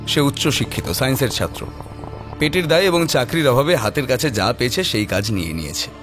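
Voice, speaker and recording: A man tells a horror story as its narrator. His voice sits at a middling pitch. The delivery is scripted and dramatic, with music clearly playing underneath. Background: prominent background music.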